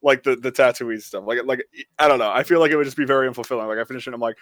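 A man's voice: mid-range voice, very fast, fairly bright tone, no room sound, clean studio sound. A man on a podcast panel chatting casually in a rambling way, saying "like" a lot. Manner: rambling, peppers talk with "like". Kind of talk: casual chat.

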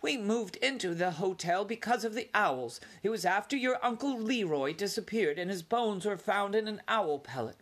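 A man's voice: high-pitched, animated, normal speed, hardly any pauses, fairly bright tone, voice pushed up. A man voicing an anxious, fussing mother mouse with a worried, pleading manner.

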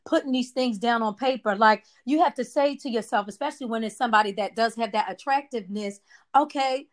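A woman's voice: on the high side, brisk. A woman co-hosting a casual chat, making her points emphatically and drawing responses in a preachy call-and-response way.

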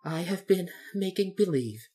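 A man's voice: high voice, animated, normal speed, pitched above the performer's own voice. A man voicing a little old humbug wizard, meek, fussy and apologetic, with a trembling voice. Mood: meek, hushed.

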